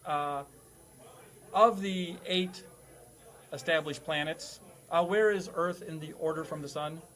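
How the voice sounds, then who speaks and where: Higher-pitched man hosting a comedy quiz. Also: a bit bright. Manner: mock-schoolteacher formality, halting delivery. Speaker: host and quizmaster playing a classroom teacher.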